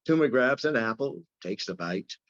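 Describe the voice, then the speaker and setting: A man's voice: medium pitch, lively intonation, normal speed, a bit bright, muffled recording. A man reading out screenplay stage directions as scripted narration.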